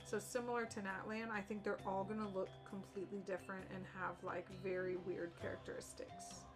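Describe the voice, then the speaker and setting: A young woman streams a game with casual, chatty commentary. Her voice sits at a middle pitch, and she is opinionated and slangy, with music clearly playing underneath. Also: flat delivery, brisk. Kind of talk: casual live gaming commentary.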